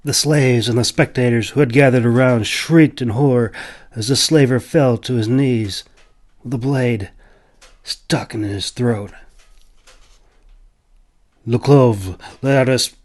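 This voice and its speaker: Slow, dramatic male fantasy storyteller. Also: mid-range voice, a little mellow. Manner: solemn, theatrical, swells on action and drops for somber passages. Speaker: audiobook narrator, author reading own book.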